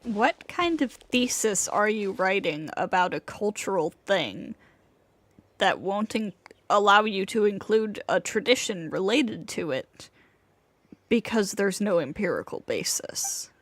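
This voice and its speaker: A woman's voice: mid-range voice, animated, measured pace, no echo. A woman giving casual live gameplay commentary as a streamer, with a blunt, offhand way of talking. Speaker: game streamer. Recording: game music behind the voice.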